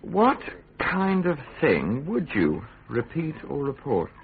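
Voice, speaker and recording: A worldly, scheming English official in a Tudor radio play. He is insinuating and smug, his pitch moving freely between deliberate pauses, heard through a tinny, narrow-band recording. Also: wildly swooping pitch, vocal fry, a little hiss or hum, heavily acted. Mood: probing. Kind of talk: British radio drama acting.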